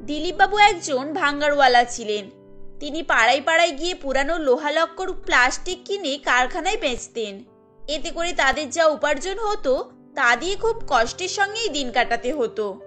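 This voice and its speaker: A woman with a high voice narrates a cartoon story from a script. She keeps a steady storytelling pace, and faint music plays behind her. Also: moderate pace.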